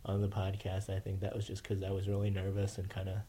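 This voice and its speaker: A man's voice: sits low, monotone, fast talker, mellow, slight background noise. A man hosting a casual podcast, with a nasal voice, rambling with many fillers and restarts. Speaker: podcast host.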